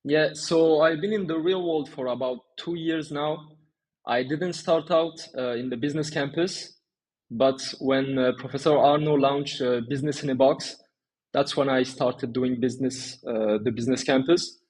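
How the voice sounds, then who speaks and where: Young guy in his 20s, bit husky, chatting through interview answers. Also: mid-range voice, fairly even intonation, normal speed, darkish tone, poor audio. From Turkey and France. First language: Turkish and French. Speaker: interview guest, small agency owner.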